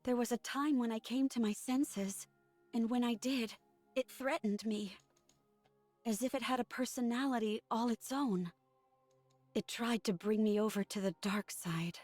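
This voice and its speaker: A woman's voice: on the high side, fairly even intonation, measured pace, a little mellow, no echo. A woman voicing a subdued, earnest, remorseful female android soldier in a dramatic video game scene. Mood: troubled, earnest. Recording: music behind the voice.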